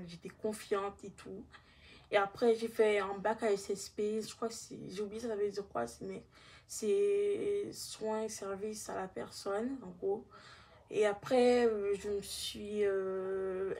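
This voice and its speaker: A young woman from Haiti with a Guadeloupean accent, giving a halting personal testimony in casual speech. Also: medium pitch, darkish tone, slightly rough. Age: young adult.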